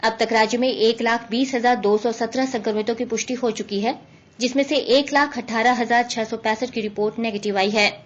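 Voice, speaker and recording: A woman reads a formal radio news bulletin in a fairly high voice. The sound is slightly dull and band-limited, and the delivery is scripted throughout. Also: steady tone, a little fast. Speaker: news reader.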